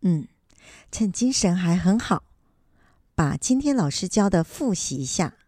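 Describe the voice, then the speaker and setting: A woman acting an earnest, studious elderly grandmother in a radio drama, with a Taiwanese accent and a gentle, hesitant manner. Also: on the low side, sing-song, a little slow, dry recording, clean studio sound.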